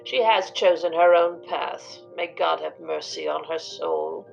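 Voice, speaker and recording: A woman playing the bitter, pious middle-aged daughter of a disgraced star, speaking sternly and deliberately in a dramatized interview. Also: low voice, steady tone, creaky, darkish tone, exaggerated voice. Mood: righteous, sorrowful. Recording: dull lo-fi sound with music.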